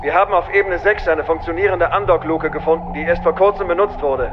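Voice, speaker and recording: An actor playing a brisk male soldier in an audio drama, reporting to his commander over a radio link. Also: a little high, music in the background, close to an everyday voice. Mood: urgent. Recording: dull radio transmission, noisy outdoor ambience.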